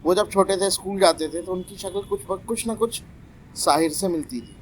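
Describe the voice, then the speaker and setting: Man, high voice, impassioned casual discussion, English phrases mixed in, some background noise. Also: moderate pace, poor audio. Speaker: panelist on a poetry talk show.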